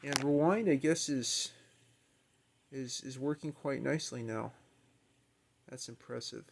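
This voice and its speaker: Gravelly-voiced man, casually thinking aloud. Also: medium pitch, unhurried. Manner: hesitant, musing, thinking aloud. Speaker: amateur video maker demonstrating equipment. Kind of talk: casual unscripted demonstration. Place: carpeted room at home, indoors.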